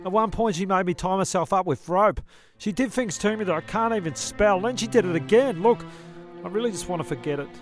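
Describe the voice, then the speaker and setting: A man's voice: high-pitched, fairly quick, faint music behind, close to an everyday voice. A man playing a melodramatic, self-pitying castaway in a comedy audio drama, histrionic and indignant. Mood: wounded. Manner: histrionic, indignant, over-sharing.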